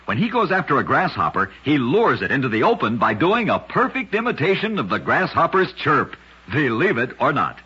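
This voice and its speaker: A man's voice: medium pitch, wildly swooping pitch, brisk, sharp tone, husky. A man narrating short curiosities for radio in a scripted, dramatic style with emphatic storytelling. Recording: dull lo-fi old radio recording.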